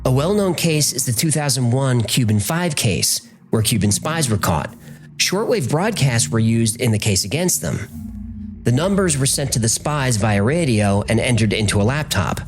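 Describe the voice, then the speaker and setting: A man's voice: medium pitch, lively intonation, normal speed, faint music behind. A man narrating a scripted documentary in a conversational, explanatory way, posing rhetorical questions as host.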